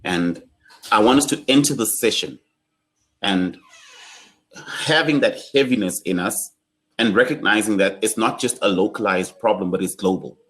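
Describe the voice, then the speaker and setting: A young South African man with a South African accent, leading an online workshop in a measured, solemn way. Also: fairly low pitch, fairly bright tone, low-quality recording. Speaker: workshop facilitator.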